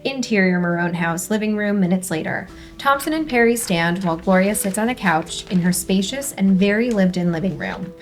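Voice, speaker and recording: A woman with a mid-pitched voice works as a host and script reader. She speaks in an upbeat, conversational presenting style, moving easily between discussion and narrated scene-setting. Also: brisk, hardly any pauses, soft background music. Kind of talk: upbeat hosted discussion and stage-direction reading.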